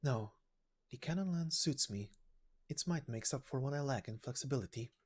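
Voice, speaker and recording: A man's voice: mid-range voice, animated, normal speed, darkish tone, breathy edge. A man voicing an earnest, determined young female knight in a game story reading, restrained and serious. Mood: resolute. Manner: restrained, serious, plain-spoken.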